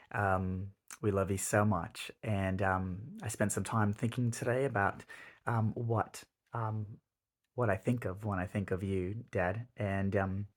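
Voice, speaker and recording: A man in his thirties giving his father a heartfelt birthday tribute, earnest and halting with many pauses and restarts. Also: lowish voice. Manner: earnest, reflective. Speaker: son.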